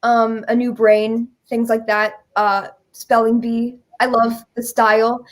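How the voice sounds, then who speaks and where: A woman's voice: a little high, little pitch movement, takes it slowly. A young woman, a composer being interviewed, chatting casually in a giggly, effusive way. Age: young adult. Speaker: interview guest, musical theater composer. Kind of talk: casual chat.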